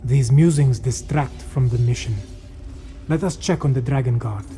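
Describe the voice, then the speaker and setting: A man playing a reflective cat-folk warrior monk in a fantasy video game, speaking in a measured, solemn way. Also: mid-range voice, over music, lightly acted. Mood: calm, resolute. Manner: speaks of himself in third person, measured, solemn.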